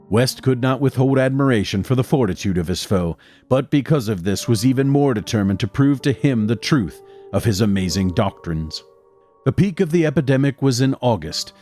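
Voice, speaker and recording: A man playing a mild young doctor who narrates and speaks in an audio drama, measured and formal. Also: medium pitch, a little mellow, close to an everyday voice. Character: mild, conciliatory young male doctor, loyal colleague and narrator. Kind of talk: literary first-person narration and dialogue in audio drama.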